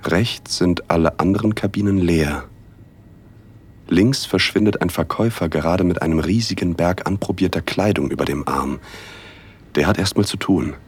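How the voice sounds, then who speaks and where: Man, rumbling deep voice, breathy and husky, hushed intimate audio drama narrator. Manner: hushed, intimate, unhurried storytelling. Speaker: narrator and male lead. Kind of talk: first-person erotic audio drama narration.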